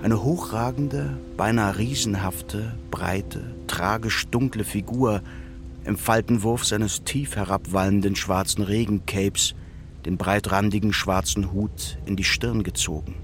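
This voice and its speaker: A man with a fairly low voice narrates a literary radio play. He is solemn, precise and measured in his phrasing. Soft music plays faintly behind him. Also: fairly even intonation.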